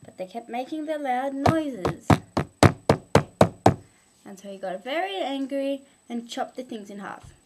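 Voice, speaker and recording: A woman's voice: high voice, expressive, measured pace, long pauses, heavy background noise. A young woman telling improvised, humorous moral stories informally, with a playful, mock-instructive manner. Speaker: amateur storyteller.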